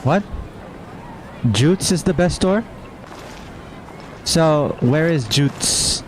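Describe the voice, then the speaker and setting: Lively man doing casual game commentary. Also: fairly high pitch, very slow, slight background noise, rough recording. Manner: playful, musing aloud. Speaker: gamer, streamer. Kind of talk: casual gameplay commentary, reading dialogue aloud.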